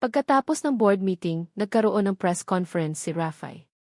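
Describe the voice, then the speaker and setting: A woman storyteller reads a scripted drama. Her voice sits at an average pitch for a woman. The sound is very dry, with no room around it. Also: lively intonation, normal speed, mellow, no room sound.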